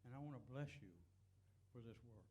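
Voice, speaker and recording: A man's voice: medium pitch, fairly quick, dark tone, slight background noise. A man leading a church service, giving blessings and announcements in an earnest, unscripted, encouraging way.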